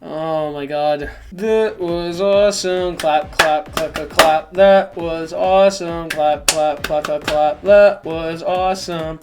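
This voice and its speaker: A man hosting a reaction video, talking casually and excitably in slangy, repetitive bursts. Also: a little high, takes it slowly, few pauses, noisy background. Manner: excitable, informal, slangy, repeats phrases. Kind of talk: casual live reaction commentary.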